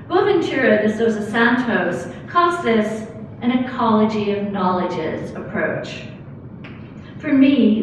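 An older woman reading a prepared academic lecture from her notes at a lectern, in a measured way. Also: medium pitch, slight background noise. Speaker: academic speaker. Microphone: lectern microphone. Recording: echoey hall, camera far off.

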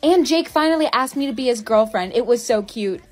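A woman's voice: a little high, animated, brisk, faint music behind, lightly acted. A woman acting confident, blunt female characters in a scripted comedy skit. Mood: boastful.

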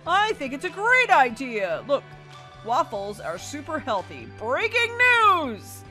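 A woman voicing a cheerful, easygoing, goofy dad in an animated, playful family role-play over a video game. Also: sits high, slow, faint music behind, exaggerated voice. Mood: breezy, goofy.

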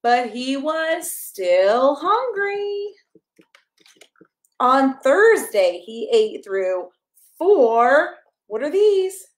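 A woman in her thirties reading a picture book aloud to a toddler, expressive and encouraging, coaxing the child along with questions. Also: high voice, extremely slow, echoey room. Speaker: pastor reading a children's story. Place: small carpeted room at home, indoors. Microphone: camera a step or two away.

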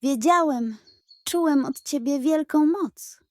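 A woman voicing a fake chicken spirit in a children's fairy tale, mysterious, pompous and full of grand flattery. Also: high voice, slow, no echo, voice pushed up. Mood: grandiose flattery.

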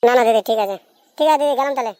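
Carefree schoolboy for a cartoon, played by a woman: rapid, repetitive, casual delivery in a dry recording. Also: fairly high pitch, a little mellow, dry recording, natural voice. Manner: casual, repetitive, brushing off advice. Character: carefree procrastinating young schoolboy, little brother. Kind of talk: amateur cartoon dialogue.

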